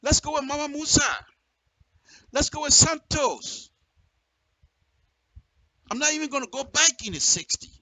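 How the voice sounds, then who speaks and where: A man's voice: very high-pitched, a little slow, pauses often, pushed and bright, no echo, low-quality recording. A man with a Liberian accent giving impassioned political commentary in direct address, emphatic and repeating phrases for effect. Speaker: radio commentator.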